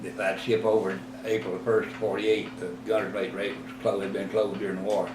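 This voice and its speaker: A man in his eighties, slowly recalling his past in an interview, rambling and halting with many fillers and self-corrections. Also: on the low side, level tone, over music. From Texas. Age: 80s. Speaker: oral history interviewee. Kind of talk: reminiscing in a recorded interview. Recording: roomy, distant mic with clear background noise.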